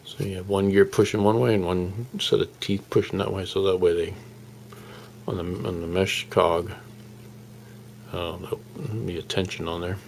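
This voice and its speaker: A man with a very low, gravelly voice talks through a hands-on project in a casual, unscripted way. He rambles and halts as he explains, in a folksy manner. Some faint noise sits behind his voice. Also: flat delivery, normal speed, a little mellow, poor audio. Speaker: hobbyist radio restorer presenting his project.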